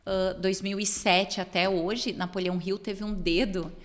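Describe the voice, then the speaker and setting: A woman's voice: mid-range voice, steady tone, measured pace. A middle-aged woman from southern Brazil, with German as her first language, co-hosting a podcast and recounting her path in a reflective, storytelling way. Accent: southern Brazilian Portuguese with German roots. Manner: storytelling, reflective, warm affirmations. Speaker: podcast co-host. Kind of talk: casual podcast conversation. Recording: long hall reverb.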